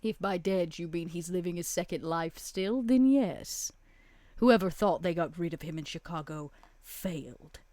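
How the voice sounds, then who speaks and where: A woman playing a poised, scheming female vampire power broker in a tabletop game, with theatrical, deliberate, flowery delivery. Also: fairly low pitch, animated, dark tone, no room sound. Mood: sly, proud. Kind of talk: tabletop roleplay in character.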